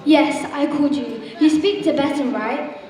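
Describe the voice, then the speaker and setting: Female constable, played by an amateur actress, high-pitched, procedural, formal, stage play in a reverberant hall. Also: normal speed, darkish tone, natural voice. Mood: businesslike. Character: businesslike junior woman police constable taking a report. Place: large echoey indoor hall, far from the mic. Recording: distant, very echoey hall.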